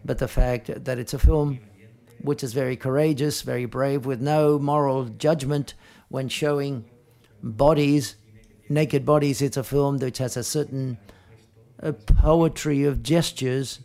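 A male interpreter with a creaky, relaxed voice at a middling pitch. He renders press conference remarks live, in piecemeal phrases with frequent self-corrections. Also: unhurried.

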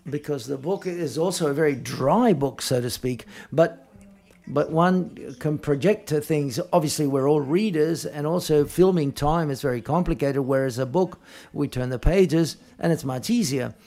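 Gravelly, mellow male interpreter. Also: on the high side. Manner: halting, piecemeal phrasing with self-corrections. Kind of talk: live spoken interpreting at a film press conference.

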